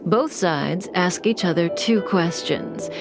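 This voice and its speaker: Mysterious female manipulator, lowish voice, very unhurried, composed, teasing, video game dialogue, music underneath. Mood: teasing, calm. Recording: music bed behind the voice.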